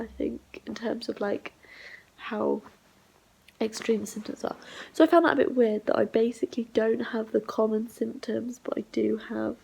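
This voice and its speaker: Sick young woman, husky half-whisper. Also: darkish tone, rough recording. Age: young adult. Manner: rambling, half-whispered, low-energy talk. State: sick, congested and hoarse. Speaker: vlogger. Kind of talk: casual diary vlog. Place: flat, indoors.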